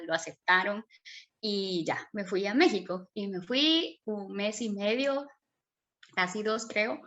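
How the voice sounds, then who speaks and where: A Guatemalan woman in her thirties speaking with a Guatemalan Spanish accent, relaxed and talkative. She tells her story as a podcast guest and explains technical terms plainly, padding with fillers as she goes. Her voice sits at an ordinary middle pitch. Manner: chatty, explains with many fillers. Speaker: guest scientist. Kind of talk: casual interview storytelling.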